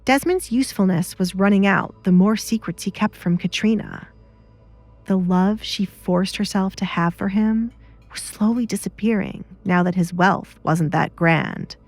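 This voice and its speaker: Female narrator for scripted audio fiction, medium pitch, very dry studio sound. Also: measured pace, dry recording.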